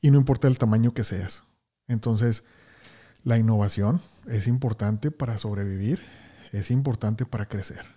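A man's voice: on the low side, moderate pace, warm tone. A man hosting a podcast interview, greeting listeners with energy and often repeating words as he talks. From Houston, Texas, United States. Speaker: podcast host.

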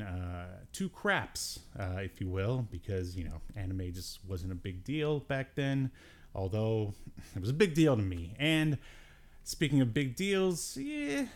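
A man's voice: mid-range voice, very animated, slow. A man hosting a podcast solo in a casual, jokey, self-deprecating way, with hesitant asides. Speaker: podcast host. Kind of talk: casual solo show commentary.

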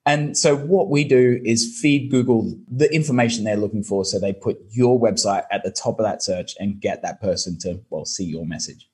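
Laid-back Australian man with a husky voice. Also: fairly low pitch, moderate pace, few pauses, darkish tone. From Brisbane, Australia. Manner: chatty, laughs often, many fillers like "you know". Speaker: guest, SEO business owner. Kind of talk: casual interview answers.

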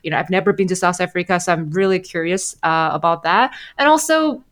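A woman's voice: on the low side, brisk. A woman hosting a podcast, introducing and questioning her guest in an eager, enthusiastic way. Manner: eager, enthusiastic, many fillers. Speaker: podcast host. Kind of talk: casual interview chat.

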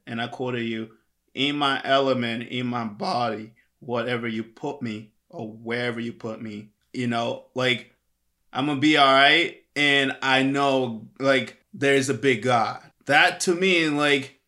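A man hosting an interview podcast, animated and emphatic, with many fillers like "you know" and "like". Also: medium pitch. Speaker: podcast host.

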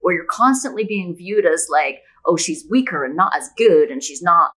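A woman's voice: on the low side, expressive, moderate pace, fairly bright tone. A middle-aged woman from Scotland answering interview questions as a guest, talking conversationally and reflectively, explaining things with examples. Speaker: guest, novelist.

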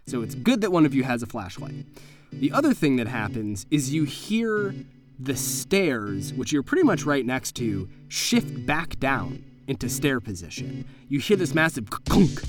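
A man working as game master, narrating an improvised horror tabletop game in a conversational, suspenseful way. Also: mid-range voice.

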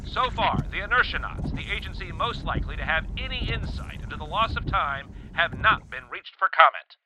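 A man playing a serious, formal, measured news reporter in an audio drama. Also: high voice, expressive. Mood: grave, formal. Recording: noisy broadcast with wind and ambience.

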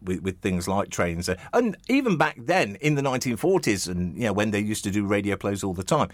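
A man's voice: fairly low pitch, sing-song, fairly quick, no echo. A man hosting a conversational radio interview in a chatty, rambling, enthusiastic way.

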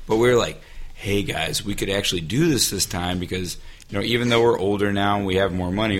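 A man in his thirties co-hosting a casual podcast, slightly hoarse from losing his voice, joking and laughing often. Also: low voice, fairly bright tone. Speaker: podcast co-host.

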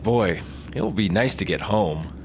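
Excitable, eager young traveler, man playing, lively pitch, noisy plane-cabin background. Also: a little slow. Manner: excitable, pitch jumps high when excited. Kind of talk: comedy audio drama acting.

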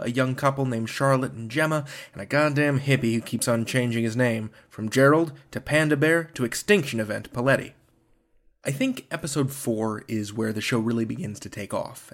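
Guy podcast host, medium pitch, wry and bookish, chatty but scripted intro.

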